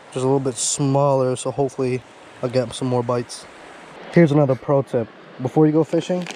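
A young man with a medium-pitched voice talks in a relaxed, slangy vlog style. He addresses his viewers casually, outdoors by a river, with clearly audible stream noise behind him on a handheld action camera. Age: young adult. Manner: laid-back, slangy, addresses viewers as guys. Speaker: vlog host, hobby angler. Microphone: action camera at arm's length or body-held. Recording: clearly noisy outdoor recording, rushing river. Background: rushing stream water.

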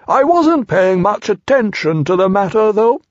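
A man's voice: sits high, sing-song, a little slow, dry recording, voice pushed up, heavily acted. A man voicing a fussy, pompous old male owl who is absent-minded. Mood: vague. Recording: dull lo-fi audiobook recording.

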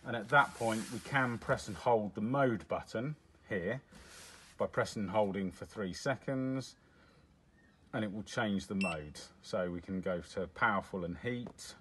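A man's voice: fairly low pitch, measured pace, a bit bright. A man walking through a device's controls step by step, explaining in a plain, matter-of-fact way while he presses the buttons. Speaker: product demonstrator. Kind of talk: hands-on product walkthrough. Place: indoors at a table with a demo unit. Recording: noticeable background noise.

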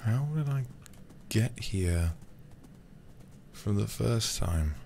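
A man livestreaming a game in a soft, casual ASMR style, murmuring to himself and often slipping into whispers on a close mic. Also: on the low side, lively intonation, extremely slow, gravelly, slight background noise. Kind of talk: soft, casual ASMR gaming stream chat.

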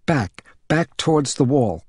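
A man voicing a calm, motherly housewife who patiently and gently reassures a child in an audiobook story. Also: mid-range voice, measured pace, breathy edge, no echo, studio quality, voice pushed up.

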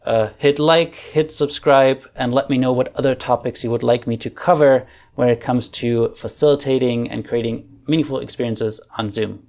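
A man in his thirties with a German accent walks through a software tutorial in a relaxed, chatty way, thinking aloud with plenty of fillers. His voice sits at a middle pitch with a gravelly edge. He speaks at home into a desk microphone. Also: moderate pace. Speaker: online facilitation trainer. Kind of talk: casual screen-share tutorial. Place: home room. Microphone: desk microphone on arm.

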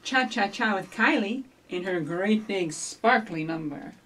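A middle-aged woman hosting a children's storytime, giving simple instructions and reading rhymes to young children in an animated way, recorded by a camera a few feet away. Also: medium pitch, measured pace. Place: small indoor office or library room with block walls. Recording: distant camera microphone.

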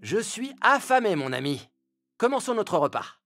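A man voicing a cheerful, greedy male fox in a children's cartoon, talking in a lively, eager way. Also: high-pitched, normal speed, exaggerated voice. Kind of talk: French children's cartoon dubbing. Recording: music bed under voice.

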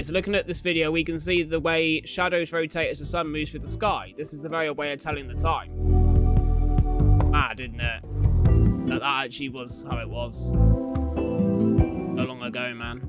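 Casting: British male reaction host, fairly high voice, chatty and colloquial, over loud music. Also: fairly even intonation, normal speed, pauses often. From United Kingdom. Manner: chatty, colloquial, with tag questions. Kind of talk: casual reaction commentary. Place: small indoor room. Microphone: desktop condenser microphone, close. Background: music from the video being watched.

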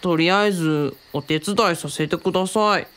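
A woman playing an obliging young assistant, modest and deferential with a gently dry manner, in a radio drama. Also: on the low side, moderate pace, close to an everyday voice. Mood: dryly reluctant.

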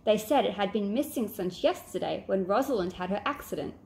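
A woman's voice: medium pitch, animated, fairly quick, a little mellow, natural voice. A woman voicing a sceptical, serious boy who leads a children's detective club in a storybook. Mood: suspicious.

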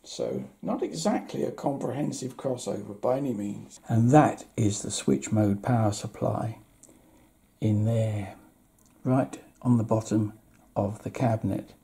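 A man in his seventies giving a casual, unscripted review with wry understatement, in a husky voice. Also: on the low side, unhurried, mellow. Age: 70s. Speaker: audio equipment reviewer. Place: indoor room at home.

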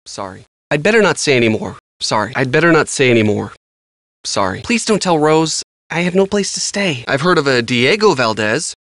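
A man's voice: mid-range voice, sing-song, normal speed, a bit bright, dry recording. An actor playing an earnest young American handyman in a video game, talking casually and a little defensively. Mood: defensive, uneasy. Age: young adult. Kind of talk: conversational video game dialogue.

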